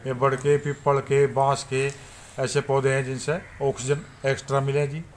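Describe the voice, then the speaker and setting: A man's voice: medium pitch, little pitch movement, normal speed. A man in his sixties with a western Uttar Pradesh accent, giving unscripted interview answers. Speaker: local interviewee. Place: outdoors among trees. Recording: noisy outdoor field recording.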